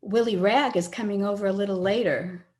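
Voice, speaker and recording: A woman playing a self-possessed, gently frank and teasing young woman in old-time radio drama style. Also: medium pitch, fairly even intonation, a little fast, close to an everyday voice. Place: at home, recorded over a video call.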